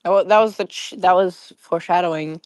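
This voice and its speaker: A woman chats as a guest on a casual podcast. Her voice sits a little low and sounds very dry, and she talks haltingly with a self-deprecating streak. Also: fairly even intonation, darkish tone, dry recording. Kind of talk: casual group podcast chat.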